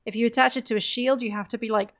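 A woman chatting casually on a gaming podcast, telling stories in an animated way and acting out quotes. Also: fairly high pitch, racing. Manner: animated storytelling with acted-out quotes.

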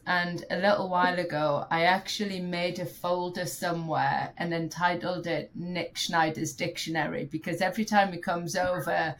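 A British woman guest with a head cold that makes her sound nasal, playfully quizzing her hosts on slang in a podcast chat. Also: fairly low pitch. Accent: British English. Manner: playful, teasing with slang quiz. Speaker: guest, regional sales executive. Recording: faint background noise.

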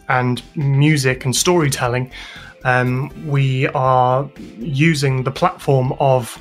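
A British man giving thoughtful, slightly hesitant explanatory answers as an interview guest. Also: medium pitch. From United Kingdom. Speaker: guest, podcast sound designer and composer.

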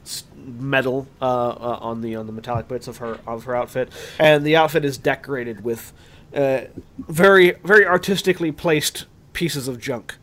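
A man's voice: mid-range voice, normal speed, fairly bright tone. A man as game master, describing a scene with many fillers and restarts.

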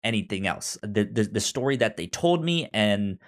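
A man co-hosting a podcast, chatting casually about films in a halting way, often repeating words and restarting. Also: on the low side, expressive. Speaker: podcast co-host. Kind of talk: casual film review chat.

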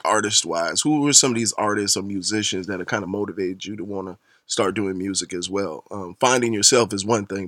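A man's voice: on the low side, a bit bright, no room sound, studio quality. A man hosting a hip-hop radio interview, talking in laid-back slang with lots of fillers and hype. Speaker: radio show host.

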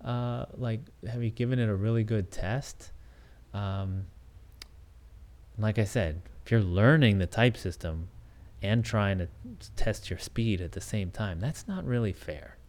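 A man's voice: lowish voice, a little slow, a little mellow. A man hosting a solo podcast, talking things through in a thoughtful, hesitant way with many restarts and fillers. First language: English. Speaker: podcast host.

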